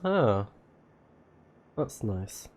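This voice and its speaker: A man's voice: lowish voice, sing-song, drawn-out words, darkish tone, a little hiss or hum. Casual man commenting as he plays. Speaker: streamer playing a story game. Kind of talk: casual let's play commentary.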